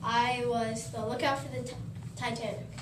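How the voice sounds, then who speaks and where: A woman's voice: a little high, little pitch movement, normal speed, lightly acted. A woman playing a young male ship lookout, reciting his part in a plain, matter-of-fact way. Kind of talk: reciting a historical role. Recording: echoey room, far mic, noisy.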